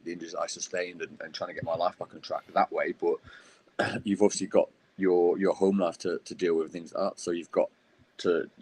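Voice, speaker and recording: A man answering podcast interview questions as a guest, speaking haltingly with many fillers and restarts. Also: sits low, darkish tone. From United Kingdom. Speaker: guest, wheelchair rugby player.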